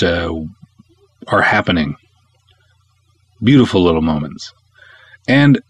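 A man with a fairly low voice talks solo as a podcast host. His delivery is casual and conversational, reflective but emphatic on key points. The sound is close and very dry. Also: no echo. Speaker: podcast host and film director.

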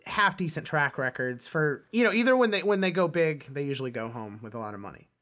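Bright-voiced man in casual movie chat. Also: fairly high pitch, animated, quick pace. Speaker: film podcast co-host.

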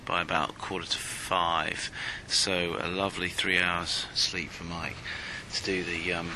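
A man's voice: deep voice, little pitch movement, unhurried, background noise. A man hosting a podcast, recording a casual audio diary on a handheld recorder in an apartment early in the morning, speaking hesitantly with many fillers. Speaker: podcast host.

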